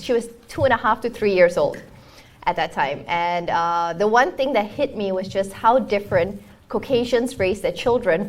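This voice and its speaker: Chatty Singaporean woman telling a story. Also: medium pitch. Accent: Singaporean English. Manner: chatty storytelling with quoted dialogue and restarts. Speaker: conference speaker, startup founder. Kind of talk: lunchtime conference talk.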